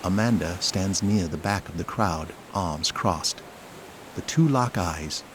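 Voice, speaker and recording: A man's voice: low-pitched, takes it slowly, background noise. A man reading scripted narration for an audio drama.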